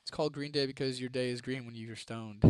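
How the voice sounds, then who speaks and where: A young man from Ohio, chatting casually on a live stream, laid-back and swearing freely, his voice a little hoarse. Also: mid-range voice, expressive, normal speed, darkish tone, no echo. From Toledo, Ohio, United States. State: voice getting hoarse. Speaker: livestreamer. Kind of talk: casual live-stream chat reading comments.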